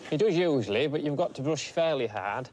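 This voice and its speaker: A man's voice: a little high, animated, a little fast, darkish tone, a little hiss or hum. A man explaining his work casually, as a horse handler does in a stable yard. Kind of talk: casual explanation while working. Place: open-sided wooden stable yard.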